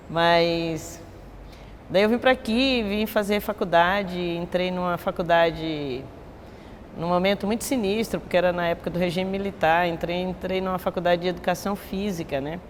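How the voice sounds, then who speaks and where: A woman's voice: lowish voice, big-room sound, a little hiss or hum. A woman in her sixties with a Bahian accent, a capoeira master speaking reflectively in an interview and often ending with a tag question. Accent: Bahian Portuguese. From Bahia, Brazil. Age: 60s. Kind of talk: recorded interview testimony.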